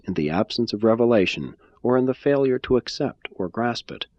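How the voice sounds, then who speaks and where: A man giving a measured, phrase-by-phrase scripted reading of a religious text. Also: lowish voice, a little mellow, no echo, lo-fi sound. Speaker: reader.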